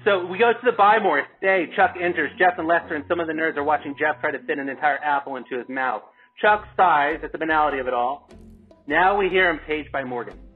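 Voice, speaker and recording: Casting: male narrator for script directions, slightly high voice, phone-like audio, light music under. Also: quick pace. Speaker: narrator reading stage directions. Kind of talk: scripted narration at table read.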